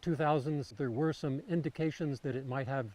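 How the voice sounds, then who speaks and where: A man, an archaeologist, speaking in a documentary interview, calm and explanatory. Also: mid-range voice, a little hiss or hum. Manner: measured, explanatory. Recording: documentary clip with faint music.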